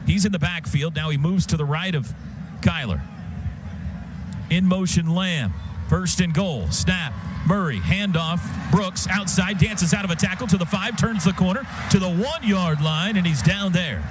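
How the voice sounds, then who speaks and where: A man's voice: high voice, normal speed. An American man calling live football play-by-play on the radio in clipped, vivid phrases. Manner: clipped, vivid phrases that build to excited calls. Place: stadium radio booth. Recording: loud stadium noise behind broadcast.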